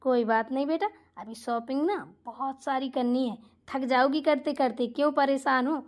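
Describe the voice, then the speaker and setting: A woman's voice: high voice, fairly even intonation, normal speed, natural voice. A woman voicing a bossy mother-in-law who dotes on her daughter and scolds her daughter-in-law in a family comedy cartoon. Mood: soothing. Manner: scolding, indignant, rhetorical questions.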